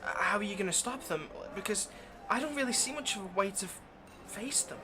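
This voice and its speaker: A performer playing a smug, possessive vampire in an ASMR roleplay, teasing and condescending with quiet menace. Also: medium pitch, expressive, brisk, background noise, lightly acted. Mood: sly skepticism. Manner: teasing, condescending, drops to whispers.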